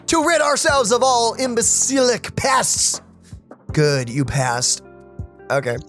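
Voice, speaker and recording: Casting: animated male gamer host, high register, casual playful commentary, faint music behind. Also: takes it slowly. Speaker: let's play host. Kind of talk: casual gaming commentary, reading dialogue aloud.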